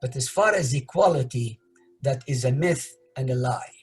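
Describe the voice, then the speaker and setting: An older man from Iraq giving deliberate, emphatic commentary in a measured way, repeating key phrases. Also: mid-range voice, expressive, slightly rough, soft background music.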